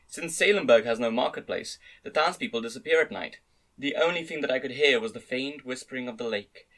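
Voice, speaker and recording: A man's voice: medium pitch, fairly bright tone, rough recording. A man narrating a first-person story as an amateur dramatic reading. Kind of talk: dramatic reading of fan-read light novel narration.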